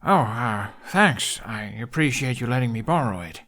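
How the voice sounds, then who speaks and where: A man voicing an awkward, well-meaning young man in a storybook, speaking hesitantly and haltingly. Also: medium pitch, lively intonation, fairly bright tone. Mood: awkward, grateful.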